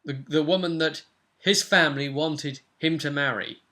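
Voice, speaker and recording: A man's voice: on the high side, steady tone, normal speed, sharp tone. A young man casually recapping a tabletop role-playing session as game master. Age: young adult. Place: small furnished room at home.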